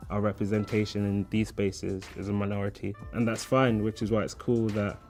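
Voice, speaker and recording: Gravelly, flat-voiced student. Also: male voice, lowish voice, brisk, soft background music. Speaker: photography student. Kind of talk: documentary interview.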